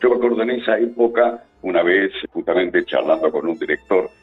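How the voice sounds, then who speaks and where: An older Argentine announcer from Buenos Aires reminisces conversationally. His voice is low and rough-edged, heard through narrow, muffled radio sound. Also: expressive, fairly quick, soft background music.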